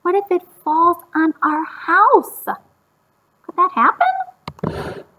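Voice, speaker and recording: A woman's voice: extremely high pitch, sing-song, very slow, pushed and bright, dull audio, voice pushed up, exaggerated voice. A woman voicing an anxious little girl mouse in a storybook, fretting and questioning. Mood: fretful. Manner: fretful, questioning.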